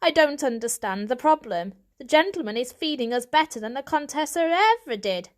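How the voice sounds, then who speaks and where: A woman's voice: sits high, expressive, moderate pace, voice pushed up. A woman voicing a complacent, blustering male cat, a father who speaks in a dismissive, assertive way in a storybook.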